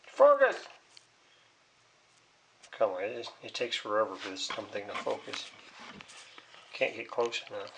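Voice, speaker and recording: A man talks slowly through a hands-on repair, rambling and hesitant as he thinks aloud. His delivery is folksy and casual, at an average male pitch. It is a homemade recording with some background noise and small clicks. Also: creaky, fairly bright tone, low-quality recording. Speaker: hobbyist repairing a vintage radio.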